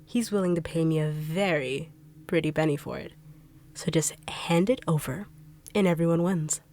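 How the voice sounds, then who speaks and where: A woman's voice: sits low, moderate pace. Woman voicing a sneering treacherous bodyguard villain. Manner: taunting, cold, sneering with quiet menace. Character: treacherous female bodyguard turned villain, greedy and mocking. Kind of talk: audio roleplay acting.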